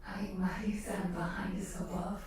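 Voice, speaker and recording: A woman chatting casually in a soft, breathy voice that often drops to a whisper, in a gentle ASMR livestream style with hesitant musing. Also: fairly low pitch, flat delivery, moderate pace, noisy background. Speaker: ASMR live streamer. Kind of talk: soft ASMR chat with viewers, much of it whispered.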